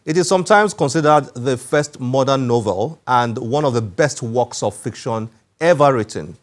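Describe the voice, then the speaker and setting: Male presenter, mid-pitched, formal and measured, scripted book show narration. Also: lively intonation.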